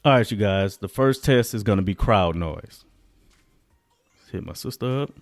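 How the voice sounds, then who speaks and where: A man's voice: fairly low pitch, expressive, normal speed, no room sound, faint music behind. A man vlogging a casual product test, talking colloquially and straight to his viewers. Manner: colloquial, addresses viewers directly. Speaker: vlogger, gadget tester.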